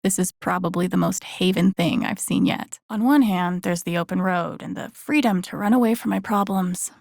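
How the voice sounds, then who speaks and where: Video game lead: wry young woman, empathetic amateur sleuth, casual inner monologue, creaky voice, very dry close recording. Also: lowish voice, normal speed, a little mellow, no echo. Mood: wry, musing. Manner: casual, muttering to herself, dry humor.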